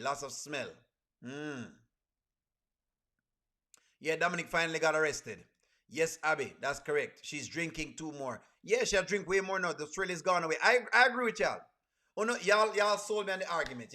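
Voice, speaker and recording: Bright, high-voiced Caribbean man chatting. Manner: repeats phrases emphatically. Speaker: livestream host. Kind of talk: casual reaction livestream, chatting with viewers.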